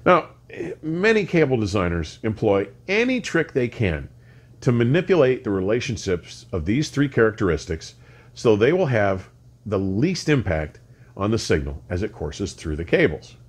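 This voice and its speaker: An older man presenting a scripted technical explanation in measured, deliberate, emphatic phrases with precise wording. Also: lowish voice, big pitch swings. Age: older, around 60. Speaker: host of an audio explainer series. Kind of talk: scripted educational explanation to camera. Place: furnished home listening room, indoors.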